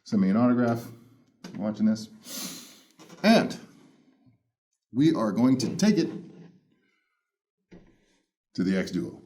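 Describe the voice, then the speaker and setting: A loud, big-voiced man hosting a casual, playful unboxing of earphones. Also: lowish voice, expressive, takes it slowly, long pauses, slight background noise. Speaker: headphone reviewer. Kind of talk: casual unboxing first impressions.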